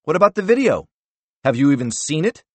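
A man's voice: mid-range voice, very animated, normal speed, dry recording, pitched above the performer's own voice, lightly acted. A man voicing an arrogant, bullying middle-aged senator who barks and interrupts. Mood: angry. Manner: barking, condescending, interrupting.

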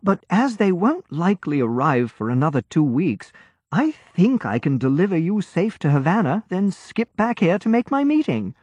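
A man's voice: sits high, sing-song, normal speed, darkish tone, husky, no room sound, pitched above the performer's own voice, heavily acted. A man reading an audiobook and voicing a cheerful, eager young male naval lieutenant who chats in a confiding way. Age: late teens. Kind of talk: audiobook character voice. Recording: dull, muffled audiobook recording.

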